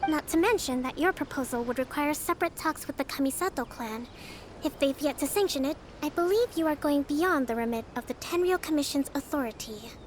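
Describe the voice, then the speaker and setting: A woman voicing a calm young female leader in a video game, measured and diplomatic in negotiation. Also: high voice, background noise.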